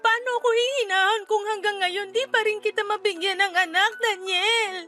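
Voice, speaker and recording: A woman's voice: very high voice, steady tone, normal speed, sharp tone. A woman playing an anguished, fearful wife who longs for a baby, speaking in a tearful, pleading voice in a radio drama. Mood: bitter, tearful. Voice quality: tearful, sobbing voice. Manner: pleading, lamenting. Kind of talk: Tagalog radio drama acting.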